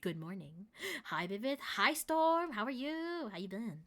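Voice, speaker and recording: A young woman streaming a game, chatting casually with viewers and reading lines aloud. She sounds hoarse with a stuffy nose. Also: fairly high pitch, big pitch swings, a little slow. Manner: chatty and warm with chat, many likes.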